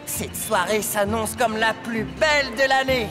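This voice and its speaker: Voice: medium pitch, a little slow, lightly acted. An actor voicing a vain, eager male locomotive in a children's cartoon, boastful and dramatic. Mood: confident. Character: vain, eager red steam locomotive, male, excitable and proud. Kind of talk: animated children's cartoon dub. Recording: music behind the voice.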